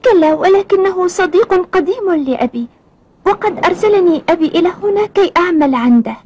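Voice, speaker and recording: A woman's voice: very high voice, animated, a little fast, dark tone, lo-fi sound, a little hiss or hum. A woman voicing an earnest, eager young boy in a cartoon, speaking courteously and formally. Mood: polite, earnest. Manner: courteous, formal, clearly enunciated. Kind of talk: Arabic cartoon dubbing.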